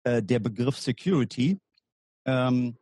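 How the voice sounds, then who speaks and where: A man's voice: medium pitch, a little slow, dark tone, no room sound. A man from Germany, a guest on a podcast interview, explaining his field at length in a hesitant way with many fillers. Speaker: guest, war gaming lecturer.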